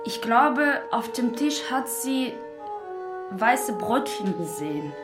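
A woman retelling a story haltingly, feeling her way and correcting herself as she goes. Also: on the high side, sing-song. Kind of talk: spoken retelling of a film. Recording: roomy sound under loud guitar music.

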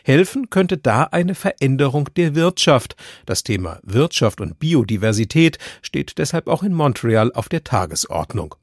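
Measured male radio news presenter, dry studio. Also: mid-range voice, big pitch swings, no room sound. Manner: measured, matter-of-fact delivery. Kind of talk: scripted radio narration.

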